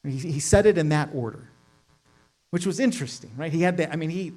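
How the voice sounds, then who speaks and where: A man's voice: on the high side, a little fast, darkish tone, faint music behind, rough recording. A middle-aged man preaching a sermon in a casual, conversational way, often asking "right?" and adding "like". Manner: casual, lots of "like" and "right?" asides. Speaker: pastor.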